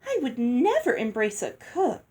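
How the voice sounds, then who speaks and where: A woman's voice: fairly high pitch, wildly swooping pitch, a little slow, dark tone. A woman voicing a haughty, greedy, bossy girl from a storybook, condescending and falsely sweet. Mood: snobbish, prying.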